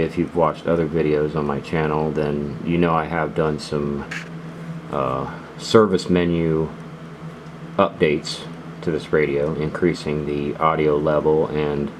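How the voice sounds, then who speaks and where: A man casually demonstrating gear as a video host, explaining things in a halting, unhurried way. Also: extremely low pitch, little pitch movement. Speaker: host of a radio gear channel. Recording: clearly noisy background.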